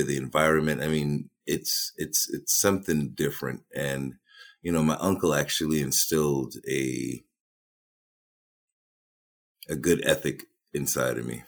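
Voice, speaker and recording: A man, a guest in an interview, speaking reflectively and haltingly, often repeating words as he gets started. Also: very deep voice, fairly even intonation, gravelly. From Trinidad. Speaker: boom operator and filmmaker.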